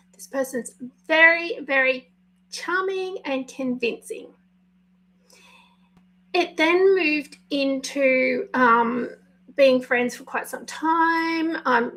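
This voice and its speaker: A woman with a husky, slightly worn voice that still sits fairly high, talking to viewers in an unscripted live video. She goes slowly and pauses often, picking her words carefully and apologizing along the way, like a coach talking things through. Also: fairly bright tone, poor audio. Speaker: life coach. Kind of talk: spontaneous live video talk.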